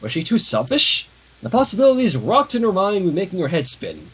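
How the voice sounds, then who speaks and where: A man reading a story aloud on a livestream with expressive, dramatic narration and casual asides, in a husky voice. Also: high voice, moderate pace, rough recording. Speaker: fan fiction reader.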